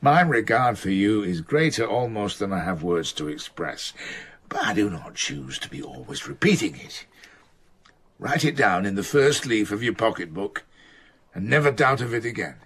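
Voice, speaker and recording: Blunt older scholar, rough-edged voice, very animated intonation, emphatic and formal, moody but affectionate, period radio play. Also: male voice, on the low side, normal speed, full character voice. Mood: tender, earnest. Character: imposing, blunt older man of letters, moody yet affectionate. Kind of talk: period radio drama acting.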